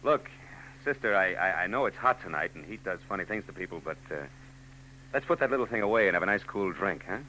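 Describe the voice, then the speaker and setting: An actor playing a dry, wisecracking, world-weary private detective in a 1940s radio drama, on an old broadcast recording with music. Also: male voice, low-pitched, lively intonation, fast talker, bright, forceful tone, slight background noise, rough recording. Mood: wry. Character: wry, world-weary male private eye.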